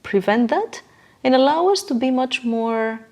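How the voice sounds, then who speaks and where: A woman's voice: high-pitched, animated, a little slow, a little mellow. A woman speaking as a podcast guest in a reflective conversation, explaining her views earnestly. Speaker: podcast guest, business coach.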